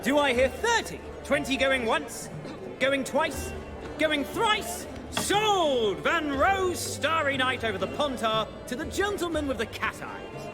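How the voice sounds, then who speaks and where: Very high, theatrical auctioneer. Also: male voice, lively intonation, slow pace, loud music behind the voice, full character voice. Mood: delighted. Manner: showy, effusive, formal. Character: theatrical, genteel, excitable male auctioneer. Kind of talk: video game cutscene auction patter.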